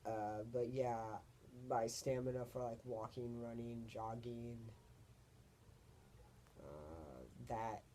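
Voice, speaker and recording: A young man with a deep voice, talking casually to camera in a rambling, hesitant way as he searches for words. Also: slow pace, pauses often, mellow, a little hiss or hum. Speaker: vlogger. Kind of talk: casual personal vlog monologue.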